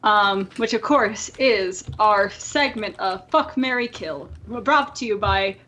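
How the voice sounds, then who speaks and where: A woman hosting a podcast in casual chat, telling things in an animated way and acting out her own thoughts. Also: medium pitch, slow pace, slight background noise. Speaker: podcast host.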